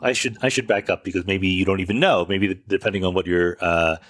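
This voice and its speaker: A man's voice: low voice, fairly even intonation, fast talker, a bit bright, dry recording, studio quality. A man hosting a podcast, explaining things in an informal, conversational monologue and correcting himself as he goes. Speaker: podcast host. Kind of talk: informal explanatory monologue answering listener questions. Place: makeshift recording setup away from home studio.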